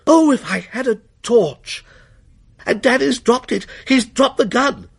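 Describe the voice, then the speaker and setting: Ghost story son, played by a man: excitable, scared, bossy youngster, raised voice with wide swings. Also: very high voice, unhurried, gravelly, slightly rough, pitched above the performer's own voice, exaggerated voice. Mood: urgent, panicked. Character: excitable frightened boy, bossy, breathless reporting. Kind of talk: dramatic ghost story reading.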